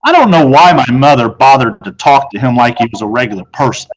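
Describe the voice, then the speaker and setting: A man's voice: medium pitch, animated, fast, rough recording. A man reads a children's novel aloud as first-person storytelling, in the role of a teacher.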